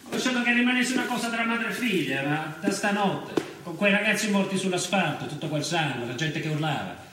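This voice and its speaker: A man's voice: high-pitched, lively intonation, brisk, roomy sound, noisy background. An actor playing a middle-aged police commissioner and worried father in a radio drama, bitter and emotional. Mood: anguished. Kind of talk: theatrical radio drama monologue and dialogue.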